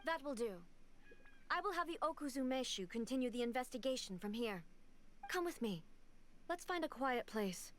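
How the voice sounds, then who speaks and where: An actress playing a stern immortal female shogun in a video game, speaking formally and with measured command. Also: sits high, lively intonation, slight background noise. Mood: composed, authoritative. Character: stern, all-powerful immortal female shogun ruler, cold and imperious.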